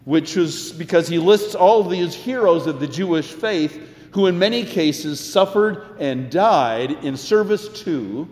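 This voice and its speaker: A male pastor delivering a sermon in an earnest, emphatic way, with deliberate pauses and repeated phrases. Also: fairly high pitch, darkish tone. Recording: echoey, reverberant church sound.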